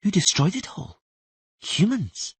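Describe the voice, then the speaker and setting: Man putting on a high, insistent young girl. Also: very animated, takes it slowly, slightly rough, no echo, exaggerated voice. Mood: puzzled. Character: young girl, questioning, stubborn and anxious. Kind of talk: audiobook character voice.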